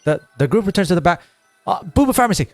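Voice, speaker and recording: A man's voice: high-pitched, sing-song, fast talker, no room sound. A man giving casual, quippy, joking gameplay commentary as a streamer. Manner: quippy, playful. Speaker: game streamer. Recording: dry mic, faint game music behind.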